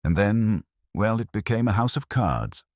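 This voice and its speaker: A man voicing a composed, genteel village woman in a mystery story, speaking with quiet, restrained dignity. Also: on the low side, big pitch swings, unhurried, no room sound, clean studio sound, voice pushed up. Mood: quiet confession.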